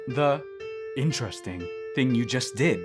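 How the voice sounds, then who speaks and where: A man's voice: medium pitch, animated, measured pace, lightly acted. A man playing a smug, teasing immortal thousands of years old in an audio drama, with a sardonic, needling manner. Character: teasing three-thousand-year-old dream maker, smug male immortal. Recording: voice under plucked guitar music.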